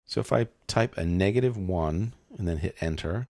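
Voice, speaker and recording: Low-pitched, gravelly man teaching step by step. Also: moderate pace, a little mellow, dry recording, studio quality. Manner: casual, methodical explaining. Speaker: software tutorial instructor. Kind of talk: screencast tutorial narration, step-by-step.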